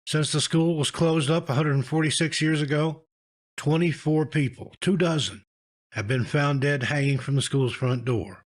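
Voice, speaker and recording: A man narrating scripted scary stories in a measured, dramatic storytelling manner, letting his phrases drop at the end. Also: medium pitch.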